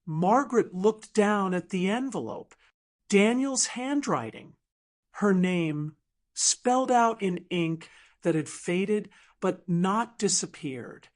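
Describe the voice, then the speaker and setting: Slow-paced woman narrating dramatic fiction. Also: medium pitch, lively intonation. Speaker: audiobook narrator.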